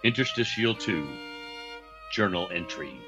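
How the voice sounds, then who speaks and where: Slow, low male announcer over strings. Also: animated. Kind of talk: scripted radio play announcing. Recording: muffled, under loud string music. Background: loud violin string music.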